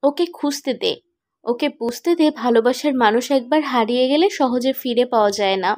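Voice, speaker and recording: A woman plays a wounded but stubborn young woman in a cartoon romance. The voice is high-pitched. The delivery is insistent and emotional. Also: brisk, darkish tone, natural voice. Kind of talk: animated romantic drama dialogue.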